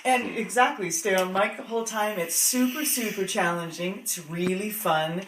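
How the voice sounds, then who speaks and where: A woman actor chatting in an interview, emphatic and expressive, in an echoey room. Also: mid-range voice, normal speed, background noise. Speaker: radio theatre actor. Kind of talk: animated interview chat.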